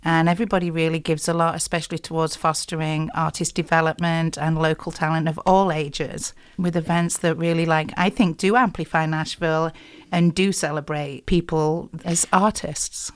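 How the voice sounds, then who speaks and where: A woman's voice: sits low, level tone, moderate pace. A middle-aged woman with a Yorkshire accent, a singer-songwriter chatting in a radio interview with lots of "you know" and "like". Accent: Yorkshire English. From South Yorkshire, England.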